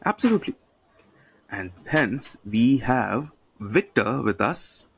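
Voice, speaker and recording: A man's voice: medium pitch, sing-song, takes it slowly, creaky, fairly bright tone, slight background noise. A man hosting a podcast over a video call, playful and teasing, with lots of "you know". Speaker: podcast host.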